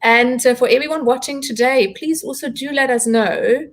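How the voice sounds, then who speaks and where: A woman hosting an online interview series, welcoming viewers and putting questions in halting, deliberate phrases. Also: high voice.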